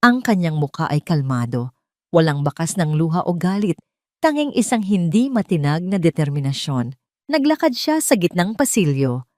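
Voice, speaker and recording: A Filipino woman narrates a scripted audio drama. Her voice sits low, and the recording is dry, with very little room sound. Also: darkish tone, dry recording.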